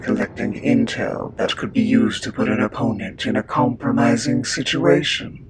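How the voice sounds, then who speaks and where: A man acting as a grave interrogator in an audio drama, asking pointed questions in a deliberate way. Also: lowish voice, fairly even intonation, natural voice. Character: grave, probing male interrogator drawing out testimony. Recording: loud ambient noise behind.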